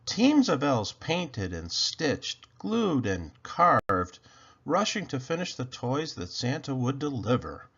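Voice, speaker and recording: A man with a gravelly, husky voice narrating a children's story in an expressive read-aloud style. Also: mid-range voice, takes it slowly, lo-fi sound. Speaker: storybook reader.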